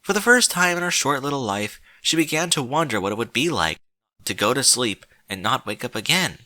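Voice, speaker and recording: A man narrating a story in a slow, solemn, dramatic way with deliberate pauses. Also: medium pitch, expressive, pushed and bright, no echo.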